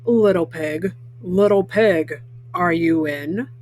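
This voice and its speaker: A woman voicing a storybook wolf who calls out to his neighbors in a drawn-out way. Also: on the low side, a little mellow, slight background noise, voice pushed down. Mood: coaxing call. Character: male wolf calling out to pig neighbors, storybook.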